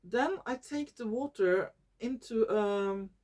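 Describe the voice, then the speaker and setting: Slow-talking Norwegian woman. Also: fairly high pitch, darkish tone. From Norway. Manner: slow and searching for English words, many fillers and self-corrections. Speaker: YouTuber. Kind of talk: casual talking-head vlog.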